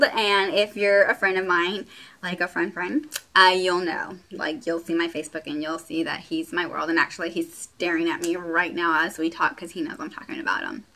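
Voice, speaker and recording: A woman's voice: fairly low pitch, bright, forceful tone. A woman in her late twenties from Puerto Rico, raised in Texas, chatting casually to camera at home in a rambling way with lots of fillers. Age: late 20s. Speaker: beauty vlogger. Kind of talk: casual get-to-know-me vlog.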